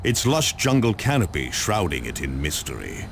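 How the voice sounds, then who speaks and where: An actor playing a grave, stern space marine commander in a video game, giving a measured, portentous dramatic briefing. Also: male voice, rumbling low, big pitch swings, creaky, noisy background, heavily acted. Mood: solemn, portentous. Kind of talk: dramatic scripted briefing narration.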